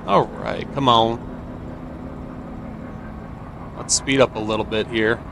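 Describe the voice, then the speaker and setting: A man's voice: medium pitch, fairly even intonation, moderate pace, creaky, noisy background. A man giving casual gameplay commentary, thinking aloud and asking himself questions as he plays. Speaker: gamer playing and commenting on a video game.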